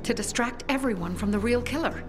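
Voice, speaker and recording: A woman's voice: a little high, fairly quick, lightly acted. An actress playing a tough young female faction leader in a video game, firm, urgent, defiant and protective. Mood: defensive. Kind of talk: dramatic video game acting. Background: game music.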